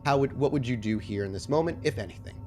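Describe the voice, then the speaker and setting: A man's voice: medium pitch, animated, fast, dark tone, faint music behind. A man running a tabletop roleplaying game as game master, narrating scenes descriptively and conversationally.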